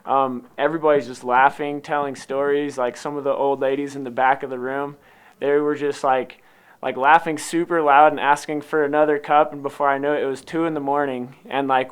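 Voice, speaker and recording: Clear-voiced American man telling a story casually. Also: on the high side, level tone, a little fast. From Utah, United States. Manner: informal, unscripted, loosely rambling. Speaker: coffee company founder telling its story. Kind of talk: casual personal storytelling to an interviewer.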